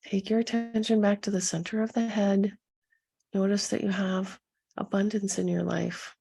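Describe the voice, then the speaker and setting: A woman leading a guided meditation as a coach, speaking softly and soothingly to her listeners with a gentle, husky delivery. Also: medium pitch, little pitch movement. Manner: soothing, gentle, unhurried instruction with pauses.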